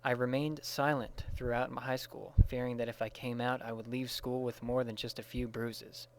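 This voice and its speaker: A man's voice: mid-range voice, flat delivery, normal speed, slight background noise, low-quality recording. A man reading a written first-person story aloud. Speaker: reader of personal testimony.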